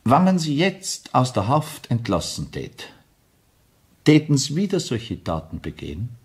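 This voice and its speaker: An actor playing a stern, appalled police inspector with an Austrian accent, formal and reproachful, in a radio crime drama. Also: male voice, mid-range voice, wildly swooping pitch, measured pace. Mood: stern, probing.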